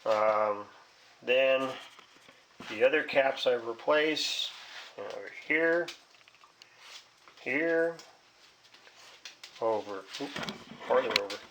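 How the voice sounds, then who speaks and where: A man talks slowly through a hands-on repair, rambling and hesitant as he thinks aloud. His delivery is folksy and casual, at an average male pitch. It is a homemade recording with some background noise and small clicks. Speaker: hobbyist repairing a vintage radio.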